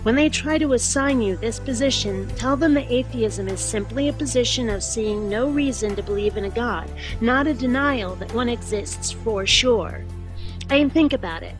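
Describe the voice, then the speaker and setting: A woman video blogger delivering a scripted opinion monologue to viewers in an emphatic, explanatory way. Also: a little high.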